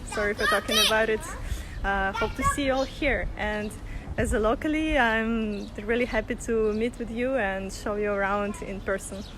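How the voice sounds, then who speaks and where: A young woman from Russia chats her way through a live tour, loose and laughing as she goes. Her voice sits a little high, and clear street noise runs under her phone audio outdoors. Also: animated, moderate pace. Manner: chatty, loose, laughing often. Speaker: tour guide. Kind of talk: live-streamed walking tour. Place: sunny city streets outdoors.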